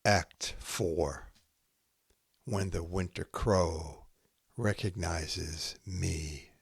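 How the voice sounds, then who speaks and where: A man reading short poems aloud in a measured way, setting each brief phrase apart with pauses. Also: deep voice, gravelly, breathy edge, no echo. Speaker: podcast host reading poetry aloud. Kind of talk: poetry reading, haiku recited line by line.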